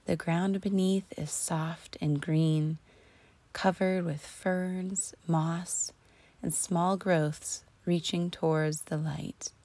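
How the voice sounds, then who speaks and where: Female meditation guide: very slow, soothing delivery, soft mellow tone, breathy and near-whispered. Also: fairly low pitch, steady tone, dry recording. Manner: soothing, slow and deliberate with long pauses. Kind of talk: guided imagery relaxation narration.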